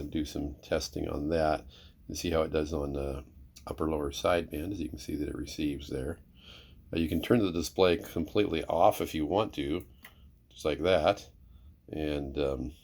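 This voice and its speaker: A man's voice: rumbling low, darkish tone. A man giving a casual, unscripted demonstration as a presenter, in an easygoing, somewhat hesitant way. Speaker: presenter demonstrating a vintage radio receiver.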